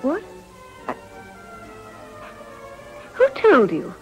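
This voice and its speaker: A woman's voice: high voice, huge pitch swings, extremely slow, faint music behind, exaggerated voice, poor audio. An actress playing a well-bred married lady, desperate and ashamed, with a polite, genteel manner in a period radio drama. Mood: guarded.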